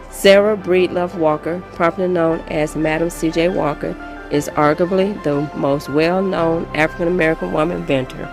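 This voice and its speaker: A woman reading a scripted narration aloud as a volunteer reader. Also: low-pitched. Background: music.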